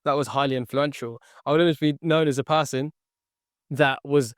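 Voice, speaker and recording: A young man, a podcast guest whose first language was Tagalog, tells his story earnestly and in repeated phrases, checking in with "okay". His voice is smooth and clear at a mid pitch. He is recorded dry and close. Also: fairly even intonation, moderate pace, no echo. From born near London, raised in the Philippines. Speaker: guest, sales entrepreneur. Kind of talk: podcast interview.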